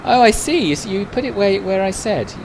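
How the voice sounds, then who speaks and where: A man's voice: high-pitched, animated, brisk, noisy background. A man chatting casually on a live stream, answering viewers' messages one by one. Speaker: flight sim streamer.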